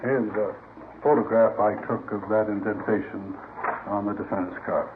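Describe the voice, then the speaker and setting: A narrow, faintly noisy vintage radio recording of a man playing a police forensics lieutenant on the witness stand. His voice is fairly low, and he lays out evidence in a precise, factual, formal way. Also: steady tone, normal speed, natural voice. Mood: matter-of-fact. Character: police crime lab lieutenant, precise matter-of-fact expert witness. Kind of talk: 1950s radio drama acting.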